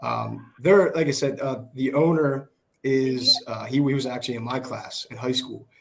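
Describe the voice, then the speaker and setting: Hoarse young American man chatting. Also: mid-range voice, steady tone, normal speed. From Pennsylvania, United States. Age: 20s. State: hoarse from shouting. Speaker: guest, small business worker. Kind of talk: casual chat. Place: video call.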